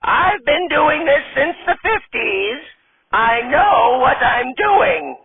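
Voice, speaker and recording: A man voicing a spry, cheeky ninety-five-year-old grandmother with a high, quavering, scolding voice, stretched out slowly. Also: lively intonation, gravelly, over a noisy crowd, full character voice. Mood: brassy. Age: older. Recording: tinny phone-like sound.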